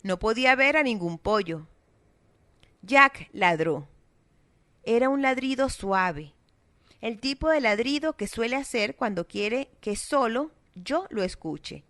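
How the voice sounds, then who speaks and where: A woman with a South American Spanish accent, reading a children's story aloud in a steady, expressive way. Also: a little high, slow pace, no room sound. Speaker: narrator. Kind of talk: scripted storybook narration.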